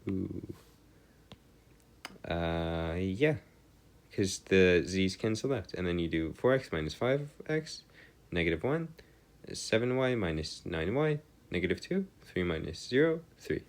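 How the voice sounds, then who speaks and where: Male tutor, lowish voice, very unhurried, hesitant and self-correcting, formal turns of phrase. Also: expressive. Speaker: maths tutor. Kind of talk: maths lesson, working through equations aloud.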